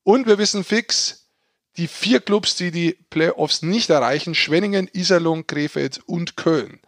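Guy on a hockey podcast, kinda high gravelly voice, teasing, dry close sound. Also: unhurried, no echo. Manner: teasing, tongue-in-cheek. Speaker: podcast co-host, ice hockey pundit. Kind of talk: casual, bantering sports podcast chat.

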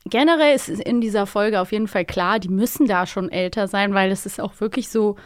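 Woman, podcast co-host, medium pitch, playful colloquial chat, dry close recording. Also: brisk, no room sound. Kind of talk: casual chat about radio plays.

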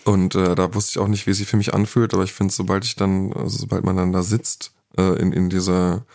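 A man's voice: low voice, flat delivery, brisk, vocal fry, a little mellow. A man co-hosting a podcast, talking in a casual, reflective way with many fillers. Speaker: podcast co-host.

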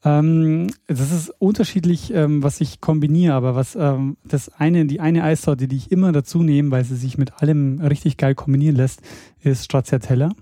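A man co-hosting a casual podcast chat, relaxed and chatty. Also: a little high, normal speed, few pauses, dry recording, studio quality. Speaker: podcast co-host.

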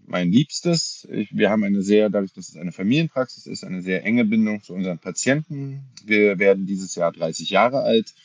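Man, 40s, podcast guest, low voice, measured, self-correcting, dry recording. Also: dry recording. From Germany. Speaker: dentist guest. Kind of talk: podcast interview.

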